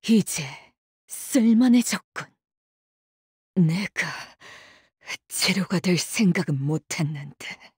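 Casting: a menacing game chef swordswoman, low and unhurried, clipped deadpan delivery, cold temper. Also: husky, no room sound, clean studio sound, full character voice. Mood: sinister, deadpan. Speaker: voice actor for a game character. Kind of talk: Korean video game character voice lines.

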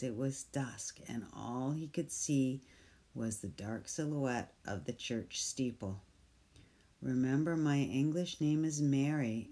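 A calm reader takes a picture book at an unhurried pace. The tone is dark and mellow, gentle and soft-spoken, and now and then sinks into a hushed whisper. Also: medium pitch. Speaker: storybook reader. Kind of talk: slow picture-book read-aloud.

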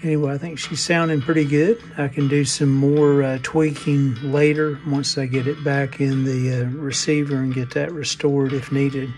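A man giving casual, unscripted hands-on repair narration in a halting manner, often pausing mid-phrase. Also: medium pitch, little pitch movement, darkish tone, soft background music. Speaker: radio restoration hobbyist.

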